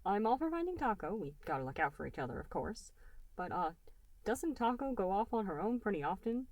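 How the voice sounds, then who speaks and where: A woman's voice: medium pitch, very animated, brisk, a little mellow, no echo, distant mic, voice pushed up. A woman voicing a nervous, doubtful male cartoon object in a hesitant, tactful manner.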